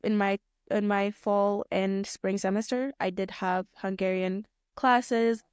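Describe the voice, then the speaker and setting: A young woman from Kenya answering interview questions in a relaxed, thoughtful way, often rephrasing mid-sentence with plenty of fillers. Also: medium pitch, takes it slowly, clean tone, no echo, studio quality. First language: Swahili. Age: young adult. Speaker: guest, pilot student.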